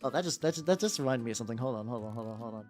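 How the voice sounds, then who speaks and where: A young man with a relatively high voice chatting casually on a livestream while painting miniatures, rambling and often repeating himself. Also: lively intonation, rapid-fire. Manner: rambling, repeats words, laughs often, squeals in playful high bits. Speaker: VTuber streamer. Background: soft background music.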